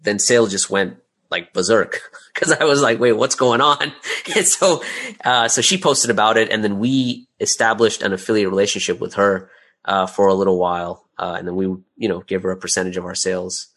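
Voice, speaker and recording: Man, lowish pitch, interview guest, casual anecdotal answers, candid conversational delivery. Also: normal speed, a bit bright. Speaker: interview guest, audio drama creator.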